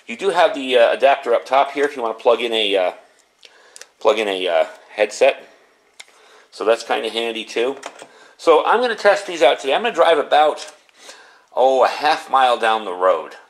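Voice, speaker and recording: A man's voice: mid-range voice, big pitch swings, moderate pace. A man giving a casual, unscripted product review in a chatty, conversational way.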